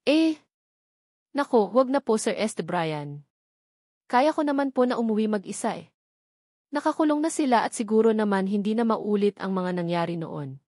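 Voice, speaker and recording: A woman's voice: mid-range voice, animated, normal speed, pauses often, darkish tone, no echo, natural voice. Timid, polite romance heroine, acted by a woman narrator, Filipino accent, embarrassed to be helped, dry sound. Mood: embarrassed, protesting. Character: shy, deferential woman, embarrassed to accept help. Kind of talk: audiobook romance dialogue.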